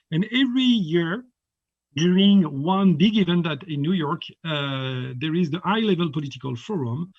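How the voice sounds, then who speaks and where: A middle-aged man with a French accent gives a halting online lecture over a video call, often repeating words. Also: high-pitched, no echo. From France. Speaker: guest lecturer. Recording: dull, muffled video-call audio.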